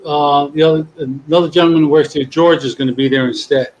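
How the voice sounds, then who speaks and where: A man's voice: on the high side, brisk, highs cut off. Chatty New man hosting. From New York. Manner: rambling, relaxed, many restarts. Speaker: podcast host. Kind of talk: casual chat. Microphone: video call.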